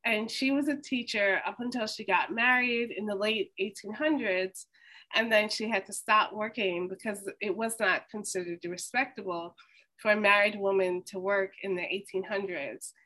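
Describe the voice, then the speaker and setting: A woman hosts an oral history interview with a bright, ringing voice. She speaks in a slow, measured way. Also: medium pitch, steady tone, poor audio. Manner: slow, measured, scripted-sounding introduction. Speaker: host.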